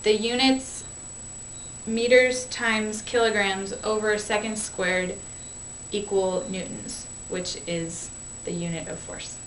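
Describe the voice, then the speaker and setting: A woman's voice: medium pitch, fairly even intonation, takes it slowly, fairly bright tone. Woman explaining, roomy distant sound. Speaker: physics explainer. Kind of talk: scripted educational narration. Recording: roomy, distant, noisy amateur recording.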